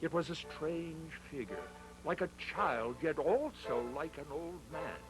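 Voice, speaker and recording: Slow, measured male storybook narrator. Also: a little high, expressive, music in the background, rough recording.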